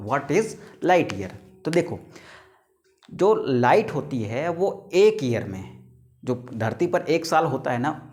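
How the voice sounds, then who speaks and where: A man in his thirties teaching biology, who calls his students "beta", asks them questions and answers them himself, and stretches terms out word by word. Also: medium pitch. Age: 30s. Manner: stretches key English terms out slowly, word by word. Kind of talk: classroom lecture to students.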